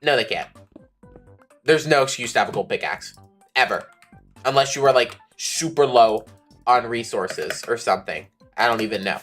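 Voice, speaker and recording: A young streamer with a slightly raspy voice, chatting casually and ranting animatedly, with playful insults. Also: mid-range voice, normal speed, long pauses, a bit bright, faint music behind. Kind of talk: casual rambling chat while streaming.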